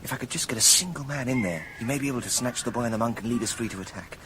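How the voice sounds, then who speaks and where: A man's voice: medium pitch, rapid-fire, lightly acted. A man playing a resolute, pragmatic medieval military commander in a radio drama, terse and determined. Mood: sober planning. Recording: clear background noise, outdoor ambience.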